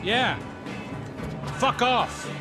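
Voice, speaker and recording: An actor playing a cocky, sociable ex-cop turned bodyguard in a video game, streetwise and persuasive. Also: male voice, very high voice, lively intonation, very slow, exaggerated voice. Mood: dismissive. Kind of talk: video game cutscene acting. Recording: music playing loudly behind.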